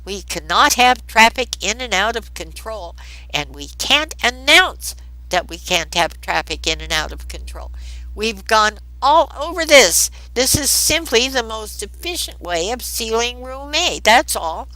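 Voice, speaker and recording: A woman's voice: a little high, very animated, unhurried, pushed and bright, no echo, pitched above the performer's own voice, full character voice, poor audio. Woman reading as a firm, insistent male official. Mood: firm, impatient. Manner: emphatic, matter-of-fact. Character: confident, insistent male official, briskly reassuring.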